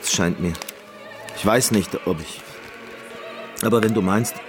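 An actor playing a neurotic, fragile, obsessive concert pianist in a radio play, with a halting, fussy manner. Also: male voice, lowish voice, music in the background, close to an everyday voice. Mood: flustered. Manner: halting, stammering, fussy, self-absorbed.

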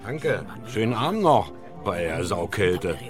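An actor playing a gruff, dismissive male tavern keeper in a radio drama, casual and scoffing. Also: on the low side, sing-song, a little slow, over music. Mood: businesslike. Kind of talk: German radio drama acting.

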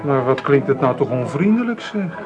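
A man's voice: mid-range voice, very animated, normal speed, close to an everyday voice. Actor as an oily, teasing man over music. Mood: insinuating, teasing. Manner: oily, teasing, mock-affectionate. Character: smarmy, insinuating male acquaintance, condescending womaniser. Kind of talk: Dutch radio drama acting. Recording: music playing behind the voice.

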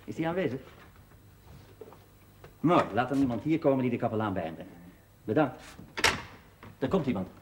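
An actor playing a brisk, curt mining boss in a naturalistic 1970s television drama. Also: male voice, medium pitch, lively intonation, a little mellow, a little hiss or hum, lightly acted. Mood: affable, confident.

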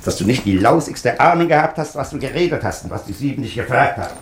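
An actor playing a gruff, domineering older army colonel in a German radio drama, barking curt commands. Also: male voice, medium pitch, lively intonation, fast talker, slight background noise, lightly acted. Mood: stern, commanding.

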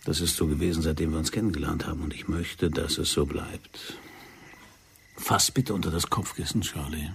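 An actor playing a controlled, commanding secret agent who coaches someone in a measured, insistent way in a radio play. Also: male voice, extremely low pitch, fairly even intonation, creaky, breathy edge, exaggerated voice. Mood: intense, quiet.

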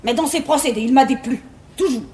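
A woman's voice: high-pitched, brisk, warm tone, close to an everyday voice. An actress playing an imperious, vain learned mother in classical French verse comedy, with grand, domineering declamation. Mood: vain, offended. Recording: echoey 1950s theatre recording, faint noise.

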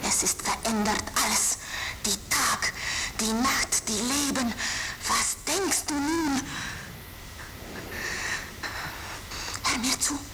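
Noisy tape, actress doing slow threatening wounded woman, breathy half whisper, radio play. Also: a little high, lightly acted. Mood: urgent, commanding. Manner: slow, insistent, halting short phrases. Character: embittered, fearless woman, threatening and wounded, foreign-born. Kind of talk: radio drama monologue on a tape. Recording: noisy taped voice with ambience.

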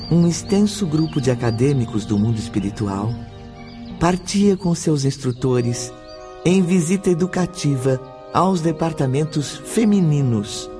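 A man narrating a radio drama in a measured, solemn way. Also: on the high side. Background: music.

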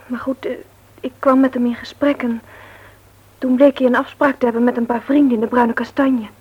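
A woman's voice: fairly high pitch, level tone, a little fast, slightly rough. An actress playing a troubled, earnest young woman in a Dutch radio drama, speaking breathily, politely and hesitantly. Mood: troubled, confiding. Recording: dull, lo-fi old radio recording.

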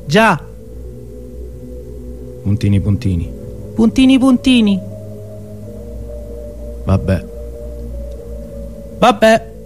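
A man performing a dramatic radio monologue in halting, theatrical fragments. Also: sits high, wildly swooping pitch, a little mellow. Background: music.

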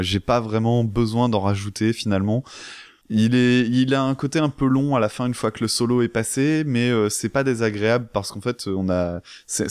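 A man talks casually as a music podcast host, in a fairly low voice. He is enthusiastic but feels his way through sentences, and the sound is close and dry. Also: a little mellow, clean tone, no room sound. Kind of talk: casual album review chat.

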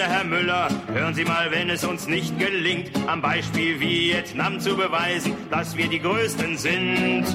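A man performs political satire over prominent musical accompaniment. He moves between singing and spoken patter in an unhurried, slightly high voice, sounding smug and sardonic. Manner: sardonic, addressing the listener as a smug capitalist. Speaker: satirical singer-songwriter. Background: musical instrument accompaniment.

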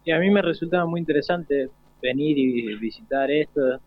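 A man's voice: a little high, moderate pace. A young Argentine man, a student, giving unscripted answers in an interview. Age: young adult. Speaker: university literature student as guest. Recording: thin phone-like radio sound.

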